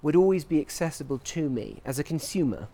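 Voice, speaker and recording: A man giving reflective interview answers as a scientist, speaking in articulate, measured academic phrases. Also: a little high, expressive, dark tone. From grew up in Portugal, England and the US. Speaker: interviewee, scientist at a research institute.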